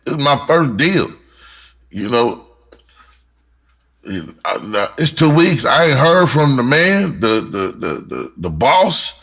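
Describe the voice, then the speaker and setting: A man's voice: mid-range voice, sing-song, slow, pauses often, a bit bright. A middle-aged American man telling a personal story casually and candidly, swearing freely and repeating words for emphasis. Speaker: rapper and writer telling their story.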